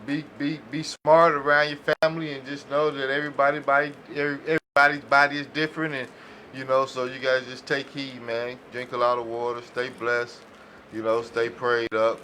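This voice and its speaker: A man in casual podcast conversation, speaking informally with slang. His pitch is ordinary, and a slight noise sits behind the voice. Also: little pitch movement, a little fast, bright, forceful tone, rough recording. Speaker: podcast panelist. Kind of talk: casual chat.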